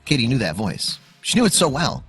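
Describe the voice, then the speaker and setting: A man's voice: mid-range voice, huge pitch swings, brisk, fairly bright tone, husky. A man narrating a scripted dramatic story in a suspenseful, emphatic way. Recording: music under the voice. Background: background music.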